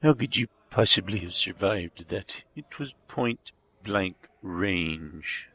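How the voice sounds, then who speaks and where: An actor playing a smug, fanatical villainous doctor in an audio drama, with a gravelly, husky voice and a slow, pompous, menacing delivery. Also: male voice, low voice, animated, a bit bright, heavily acted. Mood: defiant. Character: fanatical villainous male doctor, smug devoted servant of a demon.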